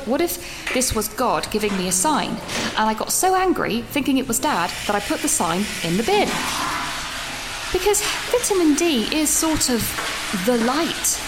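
Picture a fretful young student, played by a woman, her pitch rising and falling as she rambles and restarts. She starts close to a whisper. Her voice rings off the hard walls of a small toilet, with faint sounds behind her. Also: big-room sound, noisy background. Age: young adult. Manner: rambling, halting, with many restarts; whispering at first. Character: anxious, rambling young female student, second year. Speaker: university student leaving a voice note. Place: small echoey toilet room.

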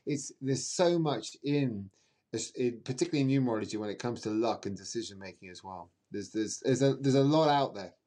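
A man's voice: medium pitch, a little fast, mellow. A man speaking as an astrologer guest in an interview, explaining emphatically in a rambling way and repeating words for emphasis.

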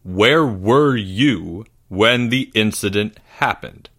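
Storybook schoolmaster, played by a male reader: controlled, probing, measured delivery with every word deliberate. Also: fairly low pitch, a bit bright. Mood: stern, cold. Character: stern, coldly probing male schoolteacher interrogating pupils.

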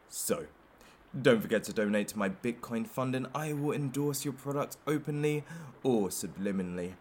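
An actor playing a flamboyant, menacing showman hostage taker in an audio drama, speaking with theatrical, smug mock politeness. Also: lowish voice.

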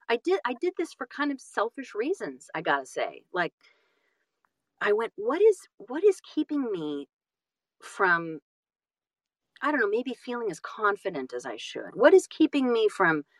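Animated, halting woman in casual conversation. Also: a little high, no room sound. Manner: animated, self-deprecating, halting with repeated phrases. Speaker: audiobook narrator and guest.

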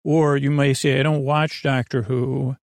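A man's voice: medium pitch, level tone, a little slow, gravelly, dry recording. A man hosting a bedtime podcast, talking in a meandering, hesitant, soothing way that keeps drifting into digressions. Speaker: podcast host. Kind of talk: rambling bedtime story podcast monologue.

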